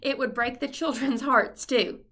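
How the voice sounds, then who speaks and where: A mild, dreamy husband from a children's storybook, played by a woman reader, bright in tone. He speaks with gentle, thoughtful earnestness and steady optimism. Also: a little high, unhurried. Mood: gentle concern.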